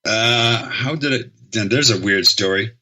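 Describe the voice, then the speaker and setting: A man's voice: mid-range voice, measured pace, sharp tone. A middle-aged man from Sacramento, a guest musician rambling through stories in a casual interview, correcting himself as he goes. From Sacramento, California. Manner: rambling, self-correcting storyteller, laughs easily. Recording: slightly dull, lo-fi sound.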